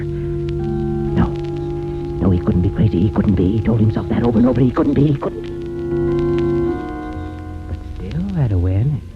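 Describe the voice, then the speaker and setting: Male horror narrator, theatrical, suspenseful, widely swinging pitch, dramatic pauses, muffled 1930s radio sound, music behind. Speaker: horror show narrator and host.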